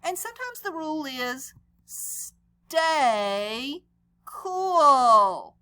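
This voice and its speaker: A woman voicing a patient, gently firm female schoolteacher sea creature with a measured, instructive manner in a picture-book read-aloud. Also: high voice, big pitch swings, full character voice.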